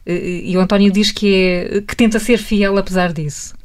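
A woman's voice: medium pitch, fairly even intonation, moderate pace, darkish tone. Portuguese woman interviewing on the radio. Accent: European Portuguese. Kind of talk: casual interview chat.

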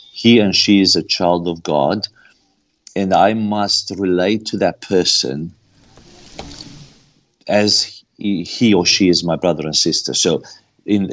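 A man's voice: low-pitched, a bit bright. A man with a Romanian accent, a guest answering at length in an earnest, preaching manner and repeating phrases for emphasis. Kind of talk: preaching-style interview answer.

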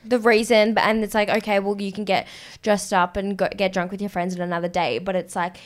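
A woman's voice: mid-range voice, flat delivery, a little fast. A woman co-hosting a podcast, talking casually and bluntly, with frequent swearing and filler words. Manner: frustrated, blunt, sweary ranting. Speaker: podcast co-host. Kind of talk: casual chat.

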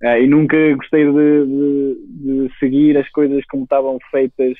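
A man's voice: mid-range voice, level tone, moderate pace, no echo. A man in his twenties from Spain with a Spanish accent, speaking Portuguese as a guest entrepreneur, hesitant with many restarts. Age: 20s. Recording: tinny phone-like line.

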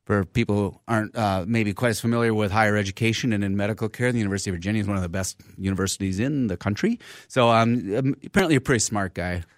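A man's voice: lowish voice, racing, no room sound. A man hosting a radio health talk show in a dry, close studio sound. He is a physician who chats easily, piles on fillers and restarts, and teases his guests in a friendly way. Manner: chatty, friendly teasing, many fillers. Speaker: radio show host, internal medicine physician.

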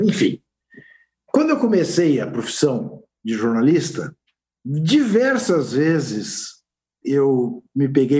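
An older Brazilian man, a sports journalist on a podcast panel, telling stories in an unhurried, reminiscing way. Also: fairly high pitch, warm tone. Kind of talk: casual panel chat.

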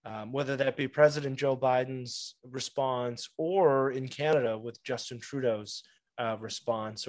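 A man's voice: mid-range voice, dry recording. Man interviewer with a dry voice. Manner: measured, articulate questioning. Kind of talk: interview questions.

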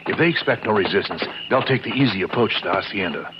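Very noisy, muffled vintage radio sound surrounds a man playing a brave young masked avenger. His voice is on the low side, earnest and commanding, like a hero giving orders in a 1950s adventure serial. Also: lively intonation, fast, natural voice. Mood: confident.

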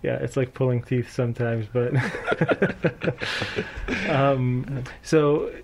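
A man's voice: mid-range voice, extremely slow, creaky, background noise. Guy hosting an interview, easygoing, asks careful questions, chuckles a bit. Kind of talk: conversational interview.

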